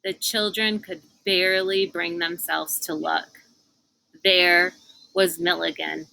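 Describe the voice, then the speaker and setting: Woman, storybook narrator, slow pace, bright clear-cut tone, expressive, deliberate dramatic phrasing. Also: lowish voice, poor audio. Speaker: read-aloud narrator.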